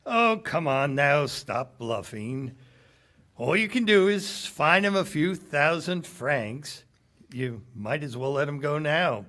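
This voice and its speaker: An actor playing a cynical, streetwise American cafe owner, slangy and brash, in 1940s radio theater style. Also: male voice, fairly high pitch, lively intonation, takes it slowly, a bit bright. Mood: brash challenge.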